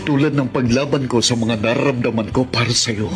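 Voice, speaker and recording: A man's voice: medium pitch, fairly even intonation, a little fast, natural voice. A man acting an earnest, devoted politician who pleads his love in a melodramatic Tagalog radio drama. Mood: pleading, impassioned. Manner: earnest, impassioned. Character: ardent, devoted male governor pleading with his sweetheart. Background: music.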